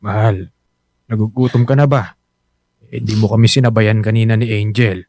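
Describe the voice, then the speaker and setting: A man's voice: lowish voice, steady tone, normal speed, a little mellow, natural voice. An actor playing a poor, easily rattled husband and father who frets about money, plain-spoken and grumbling, in a radio drama. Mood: conciliatory. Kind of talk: Filipino radio drama acting. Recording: music bed under the voice.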